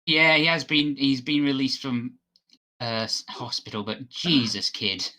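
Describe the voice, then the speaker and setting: A man's voice: medium pitch, fairly even intonation, unhurried, sharp tone. A man on a podcast panel reading news items aloud with casual, exasperated asides.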